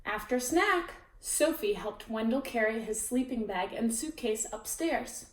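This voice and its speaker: A woman reading a children's picture book aloud as storyteller, expressive, with chatty asides to her listeners. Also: fairly high pitch, a little slow, slight background noise. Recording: roomy echo, distant mic.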